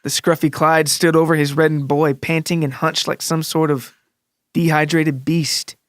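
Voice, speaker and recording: A man narrating a scripted literary story for a podcast in a deliberate, dramatic, descriptive way. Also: a little high, little pitch movement, fairly bright tone, no echo, clean studio sound. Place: close, dry recording with music underneath.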